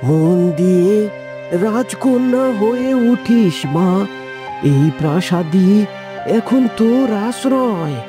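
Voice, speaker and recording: A man voicing a gentle, sorrowful woodcutter father in a fairytale cartoon, earnest and tender. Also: high-pitched, expressive, slow, long pauses. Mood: tender, sad. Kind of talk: melodramatic fairytale cartoon acting. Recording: music behind the dialogue.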